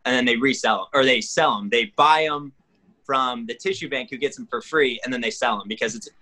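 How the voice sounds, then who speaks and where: Bright-voiced young man chatting loosely. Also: medium pitch, a little fast. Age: 20s. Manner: rambling, filler-heavy explaining. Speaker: podcast guest. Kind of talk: casual chat.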